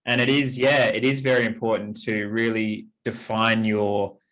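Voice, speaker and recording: A man's voice: lowish voice, flat delivery, normal speed, a bit bright, rough recording. A man hosting a podcast interview, encouraging his guest and readily answering the guest's questions. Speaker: podcast host.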